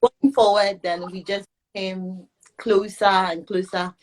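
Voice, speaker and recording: A young woman chats easily as a livestream guest, pausing now and then to find her words. Her voice sits at an ordinary middle pitch. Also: little pitch movement, low-quality recording. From Ghana. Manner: chatty, searching for words with fillers. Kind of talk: casual chat on a livestream.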